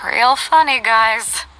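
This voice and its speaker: A woman's voice: fairly high pitch, noisy background. A woman playing a bold, easygoing, teasing female ship captain in a video game, breezy and theatrical. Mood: sheepish bravado. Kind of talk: voiced video game dialogue. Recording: game music behind the voice. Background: game background music.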